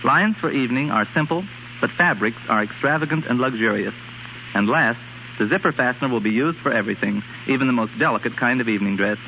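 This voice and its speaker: A man's voice: mid-range voice, fast talker. A man, a fashion expert guest, giving a scripted talk in 1930s radio style. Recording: hissy muffled 1930s radio recording.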